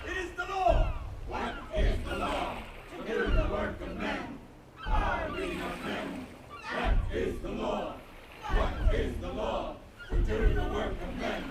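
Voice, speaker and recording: A fervent beast-man in a stage horror radio play. He chants slowly and shouts in an extremely high, wildly swooping voice amid loud crowd noise. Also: huge pitch swings, exaggerated voice. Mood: fervent chanting. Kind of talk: theatrical live audio drama.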